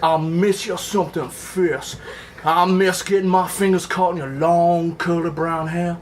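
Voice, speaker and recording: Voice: mid-range voice, fairly even intonation, unhurried, slight background noise, close to an everyday voice. A performer voicing a lovesick, crude Civil War soldier reading his letters home in an earnest, emphatic, folksy way for comedy. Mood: longing, earnest. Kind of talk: comic dramatic letter reading for a live audience.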